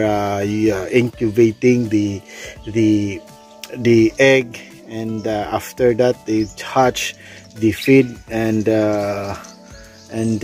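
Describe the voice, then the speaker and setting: Middle-aged male vlogger, Bisaya Filipino accent, lowish pitch, very slow and hesitant delivery, explaining casually outdoors. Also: darkish tone. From Philippines. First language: Bisaya (Cebuano). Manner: halting, hesitant non-fluent English. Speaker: swiftlet farmer and vlogger. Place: outdoors under a small tin-roofed bamboo shelter.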